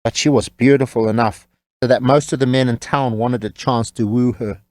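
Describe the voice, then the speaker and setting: A man narrating a scripted audiobook story in a measured, wry storytelling manner. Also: medium pitch, fairly even intonation, a little mellow, no room sound.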